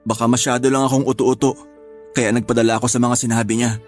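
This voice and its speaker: An actor playing a gentle, heartbroken older brother in an audio drama, who speaks softly and sighs as he blames himself. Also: mid-range voice, flat delivery, quick pace, soft background music, lightly acted. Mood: sad. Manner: soft-spoken, sighing, self-blaming. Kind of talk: radio drama acting.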